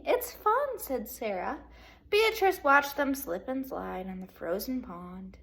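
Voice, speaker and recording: Woman, elementary teacher, fairly high voice, expressive picture-book read-aloud, casual asides. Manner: expressive storytelling addressed to young children. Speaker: second grade teacher.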